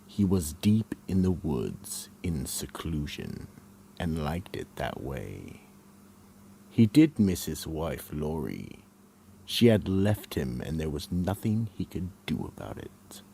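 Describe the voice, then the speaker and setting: An extremely deep, gravelly actor's take on an ominous male horror host narrates radio mystery theatre. He is slow and dramatic, with swooping intonation. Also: sing-song, mellow, slight background noise, full character voice. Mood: ominous, solemn.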